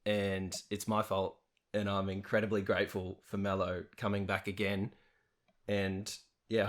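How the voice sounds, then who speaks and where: Chatty low-voiced young male host. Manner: chatty, self-deprecating, laughs often. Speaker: podcast host. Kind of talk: casual interview chat.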